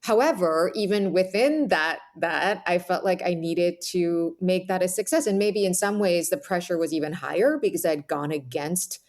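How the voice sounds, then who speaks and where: An American woman with a slightly low voice talks as an interview guest. She explains things earnestly and reflectively, often checking in with the listener as she goes. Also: normal speed, hardly any pauses, darkish tone. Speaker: podcast guest, author.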